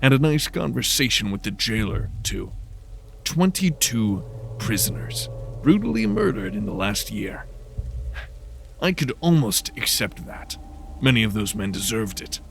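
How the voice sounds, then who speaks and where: A man's voice: on the low side, very animated, unhurried, vocal fry, a bit bright, slight background noise, exaggerated voice. A man voicing a cold, vengeful supernatural detective in a horror audio drama, with a menacing, contemptuous manner. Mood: cold accusation.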